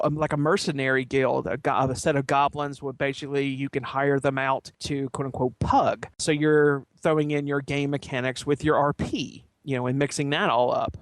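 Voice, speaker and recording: A man's voice: medium pitch, fairly even intonation, moderate pace, no room sound. A man talking casually as a podcast panelist, in a thoughtful, rambling way.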